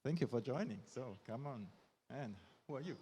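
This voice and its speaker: Male stage presenter, mid-pitch, faint room noise, coaxing and upbeat with the crowd. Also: animated, normal speed, dark tone. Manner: upbeat, coaxing audience volunteers. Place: conference stage with audience.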